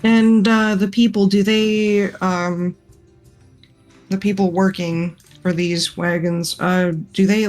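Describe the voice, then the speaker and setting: A player in a tabletop game who asks questions hesitantly, with many fillers. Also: medium pitch. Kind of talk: casual tabletop roleplay chat.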